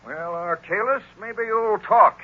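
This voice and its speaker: An actor playing an authoritative police commissioner in an old-time radio drama. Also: male voice, sits high, slow. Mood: brisk. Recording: muffled, noisy old radio recording.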